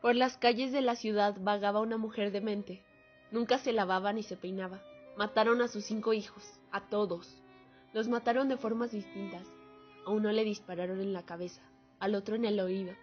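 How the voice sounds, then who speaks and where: A woman acts out a solemn female veteran bearing witness in a radio play. Her pitch is average for a woman. Faint music runs behind the slightly dull recording. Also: fairly even intonation, moderate pace, lightly acted. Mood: grim testimony. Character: female war veteran, solemnly testifying to atrocities. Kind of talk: dramatic radio monologue. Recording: muffled lo-fi audio under music.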